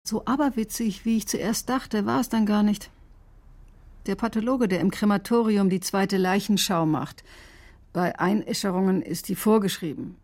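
Actress as defensive woman doctor, husky voice, rattled but headstrong, radio crime play. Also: medium pitch, normal speed, a little mellow, lightly acted. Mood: sober, bitter. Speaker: actor in radio crime drama. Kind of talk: German radio drama acting.

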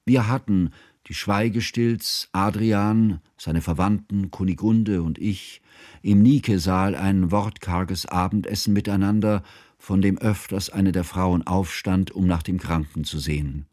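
Narrator, radio drama: grave scholarly teacher, deep dark tone, measured, formal and mournful. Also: male voice, dry recording, clean studio sound. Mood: grave sorrow. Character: grave, sorrowful, learned male schoolteacher recounting his friend's tragedy. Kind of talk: literary first-person narration in radio drama.

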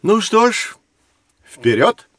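Genial, animated professor. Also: male voice, sits high, slow pace, no room sound, full character voice. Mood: genial, encouraging. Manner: genial, encouraging. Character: kindly professor guiding two children. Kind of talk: children's educational audio play acting.

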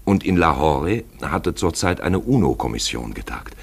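A man narrating a literary radio play in an expressive, deliberate storytelling manner, with a gravelly, husky voice. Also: very deep voice.

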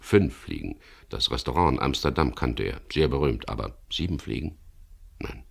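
Very deep, gravelly, laconic skipper. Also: male voice, very animated, moderate pace, heavily acted. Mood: brooding. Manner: laconic, understated. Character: weary, stubborn skipper narrating his crime adventure. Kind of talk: 1970s radio drama acting and first-person narration.

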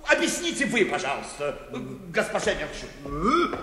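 A flustered, pompous bank director, fussy and evasive. The voice is high and very animated, repeating itself with polite exasperation in comic radio-play style, in a reverberant hall. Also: male voice, normal speed, a little hiss or hum, full character voice. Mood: weary exasperation. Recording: roomy, reverberant radio drama recording.

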